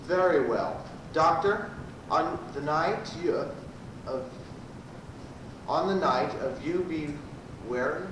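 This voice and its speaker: A man playing a pompous, badgering prosecutor in an amateur radio comedy, with a stumbling, halting delivery. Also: fairly high pitch, animated. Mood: accusing. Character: pompous, badgering male prosecuting lawyer in sci-fi parody. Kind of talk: amateur old-time radio comedy acting. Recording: roomy, distant, noisy amateur live recording.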